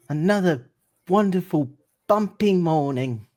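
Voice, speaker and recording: Man as a dreamy, slow-spoken walrus poet. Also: on the high side, lively intonation, a little mellow, voice pushed up. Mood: dreamy contentment. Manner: sighing, wistful. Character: large gentle walrus poet, dreamily content. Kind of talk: in-character improvised roleplay.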